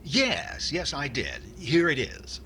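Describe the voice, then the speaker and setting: A man's voice: medium pitch, wildly swooping pitch, takes it slowly. A man playing a fussy, stingy middle-aged comedian in 1940s radio comedy style, hesitant and exasperated. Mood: flustered. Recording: noisy radio play recording.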